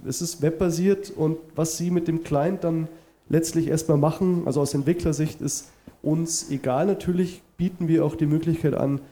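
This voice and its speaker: A man's voice: on the high side, steady tone, moderate pace, dark tone. A man with a cold presenting at a conference and answering audience questions, with many fillers and restarts. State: has a cold.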